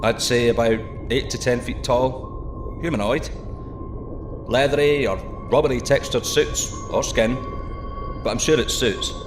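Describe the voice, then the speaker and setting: A man's voice: medium pitch, unhurried, pauses often, natural voice. A man voicing an awestruck, wisecracking male explorer in an audio drama, over a music bed. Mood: awed. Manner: breathless wonder, irreverent jokes. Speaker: submarine diver McGovern.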